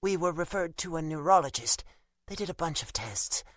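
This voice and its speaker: Guy playing a worried loving mom in her 50s, audiobook, higher voice, dry and close. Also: expressive, moderate pace, a bit bright, no echo. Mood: grave, shaky. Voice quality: shaky, quivering. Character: loving, worried mother in her early fifties.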